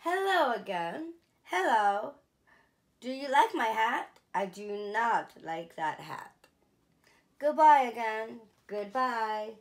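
Slow, expressive woman reading aloud. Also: on the high side. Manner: slow, clear and expressive, lifting her voice for the dogs' exclamations. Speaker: children's museum storyteller. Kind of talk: picture book read-aloud. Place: small indoor room, seated in an armchair.

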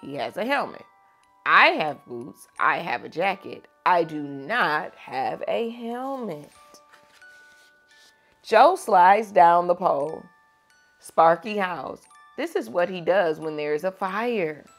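Lively, low-pitched young woman reading to children. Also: slow, faint music behind. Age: young adult. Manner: teacherly, addressing young children with questions. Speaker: children's read-aloud host. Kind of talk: expressive storybook read-aloud and chat to children. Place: small furnished room indoors.

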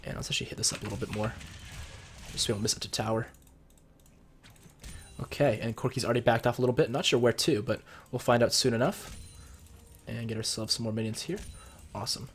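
A young man with a cold, sounding a bit husky and deeper, casually explaining strategy as he plays and comments on a video game at his computer. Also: fast, long pauses, a little hiss or hum. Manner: chatty, explains strategy while playing. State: sick with a cold. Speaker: gameplay commentator. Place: home room at his computer.

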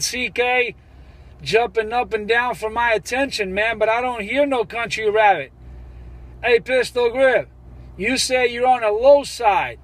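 A man's voice: very high-pitched, fairly bright tone. A man bantering boastfully as a CB radio operator, repeating his catchphrases.

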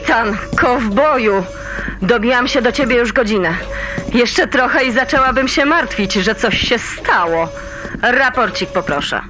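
High, lively female mission operator. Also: measured pace, music in the background. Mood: teasing, brisk. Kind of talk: sci-fi audio drama acting.

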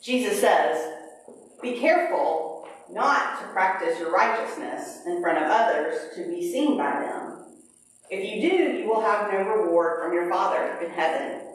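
A middle-aged woman pastor leading a prayer and reading scripture in a measured liturgical way, at a pulpit in a large church. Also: mid-range voice. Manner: slow, measured liturgical delivery. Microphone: pulpit mic, far camera. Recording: very echoey sanctuary, distant camera.

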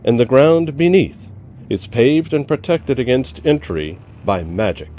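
A man narrating a horror comic in a slow, dramatic storytelling style. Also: medium pitch, animated, dark tone, slight background noise.

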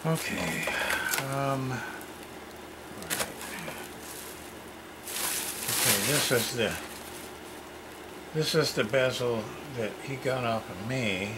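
A man's voice: medium pitch, slow pace, long pauses. A middle-aged man chatting casually during an unboxing at home, muttering to himself in short fragments. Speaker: hobbyist unboxing radio parts. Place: cluttered room at home, indoors. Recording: clearly noisy room recording.

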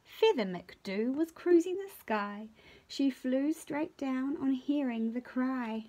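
A middle-aged New woman reads a picture book aloud at home. Her voice is high and very animated, with slow, playful emphasis and hushed touches. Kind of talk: expressive children's story reading. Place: small furnished room at home.

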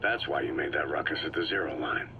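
A man playing a terse, stoic mercenary boss in a video game, gruff and laconic, mostly asking short questions. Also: very deep voice, quick pace, sharp tone, a little hiss or hum, exaggerated voice. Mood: wary, questioning. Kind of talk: scripted video game dialogue.

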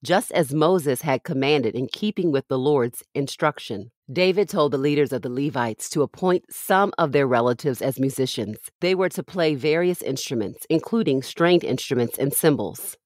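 A woman's voice: a bass voice, expressive, normal speed, hardly any pauses, darkish tone, no echo, clean studio sound. A woman reading Bible passages aloud from a script as a podcast host.

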